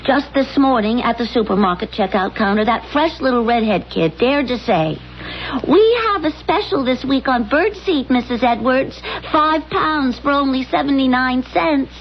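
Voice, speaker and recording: An actress playing a nagging, exasperated middle-aged wife, scolding emphatically in a radio comedy-drama. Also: female voice, high voice, expressive, normal speed. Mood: complaining. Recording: dull, noisy old radio recording.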